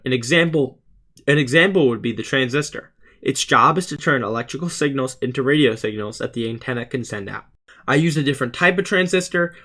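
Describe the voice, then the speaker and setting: A man's voice: mid-range voice, bright, forceful tone. A teenage male student narrating a scripted explainer for his video channel, earnest and plainly presented. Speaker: student YouTuber presenting a school project.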